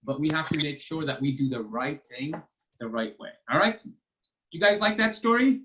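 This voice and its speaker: A man's voice: on the high side, lively intonation, normal speed, fairly bright tone. A man hosting a children's storytime and trivia over a video call, explaining step by step in a patient, encouraging way. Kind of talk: casual teaching to children.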